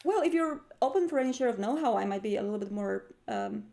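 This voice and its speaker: A woman's voice: on the high side, animated, quick pace, mellow. A woman improvising dialogue as a tabletop roleplay player, phrasing things politely and carefully, with a lot of hesitation.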